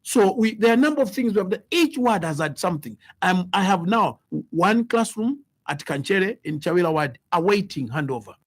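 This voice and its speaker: High, husky, animated Zambian male politician. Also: fairly quick, few pauses. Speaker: member of parliament. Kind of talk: unscripted political interview.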